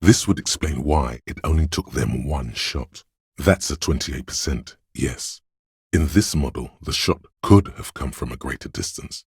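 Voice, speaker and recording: An extremely deep, husky inner voice of a detective's mind narrates a game in solemn, measured phrases. It is gravelly and very raspy, cerebral and hypnotic, recorded dry and close. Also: male voice, no echo, exaggerated voice. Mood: analytical, deliberate. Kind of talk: dramatic game narration, second-person.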